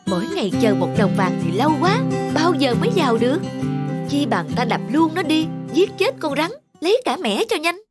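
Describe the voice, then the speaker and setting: An actress playing a greedy, scheming old peasant wife in an animated folk tale, bossy and eager. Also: female voice, high voice, moderate pace, over loud music.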